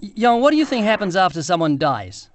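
A man's voice: high-pitched, sing-song, brisk, no echo. A man with a New Zealand accent, interviewing a stranger on the street in a chatty storytelling way and often repeating words as he goes. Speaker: street evangelist, show co-host.

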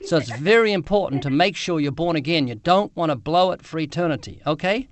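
A man with a New Zealand accent and a high-pitched voice. He chats with a stranger on the street, telling a story and stumbling over repeated words. He sounds friendly and talkative. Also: lively intonation, brisk. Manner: chatty, storytelling, repeats words while searching. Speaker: street evangelist, show co-host. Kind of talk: street witnessing interview.

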